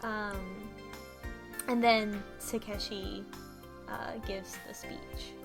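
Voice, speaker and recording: A woman's voice: medium pitch, level tone, drawn-out words, creaky. A woman in casual chat, recapping a story step by step with frequent ums and restarts. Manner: methodical, many ums and restarts. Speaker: podcast co-host. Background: game music.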